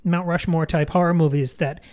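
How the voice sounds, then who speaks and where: A woman's voice: lowish voice, steady tone, normal speed, darkish tone. A woman co-hosting a podcast, talking through film analysis in an articulate, emphatic way with literary phrasing. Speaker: podcast co-host.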